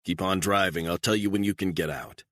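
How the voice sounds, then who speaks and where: A man voicing a calm, menacing gunman who gives clipped, flat threats. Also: low-pitched, brisk, no room sound. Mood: menacing, cold.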